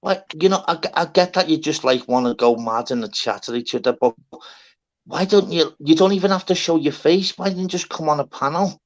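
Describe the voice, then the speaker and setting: A man's voice: fairly high pitch, brisk, lo-fi sound. A man hosting a live stream panel, chatting casually and often calling people "lad". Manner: chatty, fond of saying "lad". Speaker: host.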